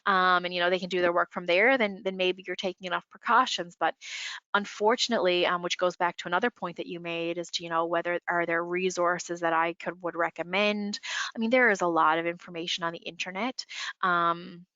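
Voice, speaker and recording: A congested woman explains workplace rules over a video call, her voice lowish yet bright and forward. She talks conversationally, leaning on "you know" and "um". The sound is dry and slightly muffled. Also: fairly even intonation, brisk, dry recording. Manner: filler-heavy, conversational, many "you know"s. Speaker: employment lawyer panelist. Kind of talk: webinar discussion, advice. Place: home, remote call.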